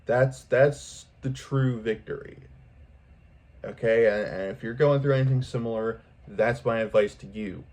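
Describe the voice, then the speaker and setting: A man's voice: mid-range voice, measured pace, a little mellow. A man speaking casually and unscripted to his viewers in a vlog, rambling earnestly and repeating himself for emphasis. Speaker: video creator speaking to viewers. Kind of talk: casual unscripted vlog monologue, pep talk.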